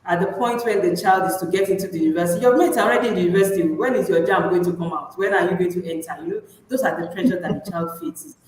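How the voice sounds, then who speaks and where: A woman's voice: on the low side, very fast, few pauses, darkish tone. A woman with a Nigerian accent hosting a live interview in a conversational style. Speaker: interviewer and host. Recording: very echoey room, faint noise.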